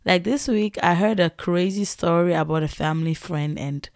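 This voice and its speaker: A young Ghanaian woman tells a story in a loose, chatty way, laughing often and breaking into animated exclamations. Her Ghanaian English accent is clear, and her voice sits on the low side. The recording is dry and close, with no room sound. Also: normal speed, no room sound. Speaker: podcast host. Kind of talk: casual storytelling monologue.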